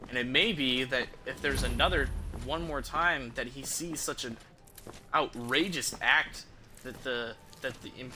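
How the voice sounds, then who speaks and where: A man giving casual, halting video game commentary as he thinks aloud. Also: medium pitch, noisy background.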